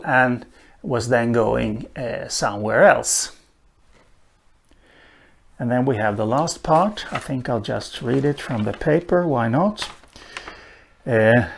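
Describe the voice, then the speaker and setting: Slow, thoughtful man with Swedish roots. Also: medium pitch, creaky. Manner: slow and thoughtful, with many "uh" pauses. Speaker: language teacher. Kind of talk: unhurried spoken lesson on a language.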